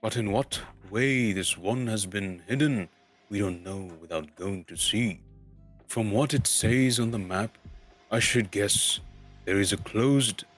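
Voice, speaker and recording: A man's voice: on the low side, a bit bright. A man voicing a wise, commanding old wizard in an amateur storybook reading, speaking emphatically. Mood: explanatory. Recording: music under the voice.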